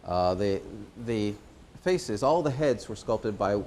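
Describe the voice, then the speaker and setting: A man giving an informal explanation as a puppeteer presenting a show, pausing and hesitating as he goes. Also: lowish voice, expressive, darkish tone, a little hiss or hum.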